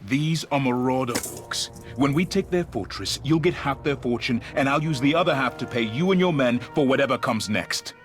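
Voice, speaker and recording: An actor playing a steady, determined male warrior leader in a video game, calm and dry. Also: medium pitch, moderate pace, close to an everyday voice. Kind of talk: video game cutscene acting. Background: game score music.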